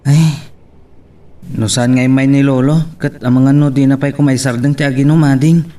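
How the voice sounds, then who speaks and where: A man's voice: mid-range voice, fairly even intonation, moderate pace, mellow, far from the mic, close to an everyday voice. A man playing an irritated, questioning elder brother in an audio drama.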